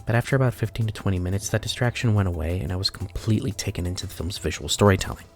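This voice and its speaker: A man in his early twenties, nasal and stuffy from a sinus infection, reading blunt, opinionated film reviews in a scripted voice-over. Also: deep voice. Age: early 20s. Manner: blunt, opinionated verdicts with scores.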